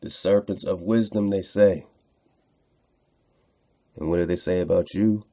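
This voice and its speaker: A man's voice: low-pitched, fairly even intonation, measured pace, warm tone. A man hosting online radio, commenting casually on texts he reads aloud, repeating phrases for emphasis and addressing listeners in slang.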